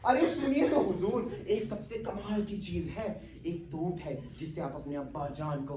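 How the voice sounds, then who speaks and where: A man's voice: high voice, measured pace, crowd noise. A man playing a cheeky, put-upon manservant in a comic stage play, deferential yet impudent. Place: theatre stage, distant microphone. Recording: echoey distant stage recording with audience.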